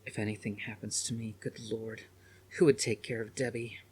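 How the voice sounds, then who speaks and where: A man voicing a loving, weary mother with a gentle, anxious manner in an audiobook. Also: lowish voice, level tone, moderate pace, slightly rough, rough recording. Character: loving, worried, weary mother, adult woman.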